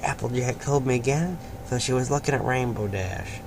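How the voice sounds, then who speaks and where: A man's voice: medium pitch, moderate pace. A man reading a story aloud in an informal amateur way, sometimes stumbling over words and repeating them. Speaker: fan fiction reader and host. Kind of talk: amateur read-aloud of a story with casual asides. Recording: noisy amateur home recording.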